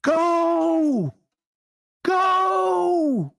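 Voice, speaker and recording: A woman's voice: very high voice, lively intonation, drawn-out words, vocal fry, exaggerated voice. A woman playing an urgent firefighter bellowing orders in a disaster, at a screenplay table read. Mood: frantic bellowing.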